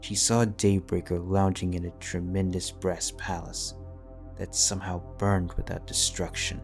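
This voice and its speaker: A man narrating a fantasy fanfiction audiobook in a measured, solemn manner. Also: low voice, level tone. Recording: soft background music.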